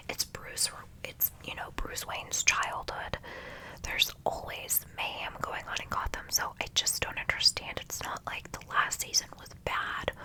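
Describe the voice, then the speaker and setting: A young woman chatting in a soft whisper for an ASMR video, gushing with lots of ums, her voice stuffy and nasal from congestion. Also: rough recording. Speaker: ASMR creator.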